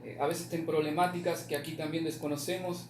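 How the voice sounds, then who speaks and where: Male interviewer, halting casual questions, off mic, noisy room. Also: on the high side, little pitch movement, echoey room, distant mic. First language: Spanish. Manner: halting, mixes Spanish with Portuguese.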